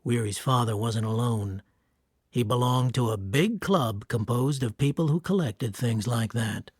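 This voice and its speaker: A man narrating a radio drama from a script in a measured, wry, literary way. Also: medium pitch, darkish tone, husky, no echo.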